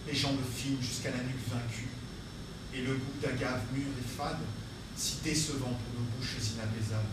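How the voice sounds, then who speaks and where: A man performing a solemn deportee poet on a theatre stage, reciting verse with measured phrasing. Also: mid-range voice, recorded from a distance, close to an everyday voice. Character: solemn male deportee poet recalling lost love. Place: theatre stage, distant microphone. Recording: very echoey, noisy theatre recording from afar.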